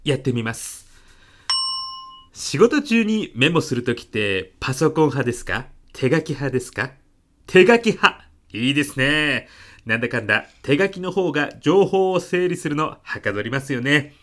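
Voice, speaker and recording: Man acting a lively, bright middle-aged salesman. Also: on the high side, normal speed. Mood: polite, earnest. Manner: polite, hesitant, protesting. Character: earnest, meek middle-aged salesman, easily flustered. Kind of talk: comedic radio drama acting.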